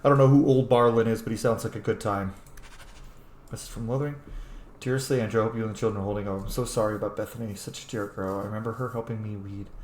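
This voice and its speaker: A man's voice: fairly low pitch, fairly even intonation, quick pace, darkish tone. A man doing casual, sweary let's play commentary and reading in-game letters aloud as he roleplays. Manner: sweary, informal. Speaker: game streamer roleplaying as his character. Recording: echoey room with faint background noise.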